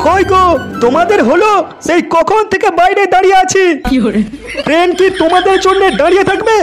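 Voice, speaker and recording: A man's voice: very high-pitched, fairly even intonation, a little fast, over music. A man voicing a grumpy, stingy old Bengali grandfather who scolds his family in a comic cartoon. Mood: irritated scolding. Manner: scolding, exclaiming.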